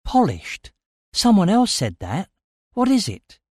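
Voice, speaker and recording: A man's voice: very high-pitched, sing-song, slow, a little mellow, no echo, clean studio sound, pitched above the performer's own voice, full character voice. A man voicing the impatient, bewildered hero of a story, firing off blunt, urgent questions. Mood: losing patience.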